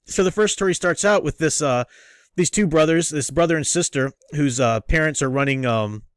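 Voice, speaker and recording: A man's voice: a little high, normal speed, smooth, no room sound, studio quality. A man hosting a casual review, explaining things in an enthusiastic, emphatic, teacherly way. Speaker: host and book reviewer. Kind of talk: casual comic review commentary.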